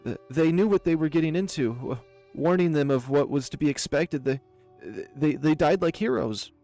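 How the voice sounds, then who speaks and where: A man's voice: a little high, normal speed, warm tone, soft background music, close to an everyday voice. A man voicing a cowardly rooster baron in a visual novel, stammering and pleading desperately. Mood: nervous excuses.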